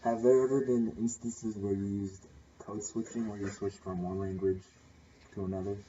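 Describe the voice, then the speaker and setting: Male interviewer, deep, dark tone, hesitant, distant and dull recording with faint ambience. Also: flat delivery, normal speed. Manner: hesitant, with fillers between questions. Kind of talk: casual interview questions. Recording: muffled voice from off the mic.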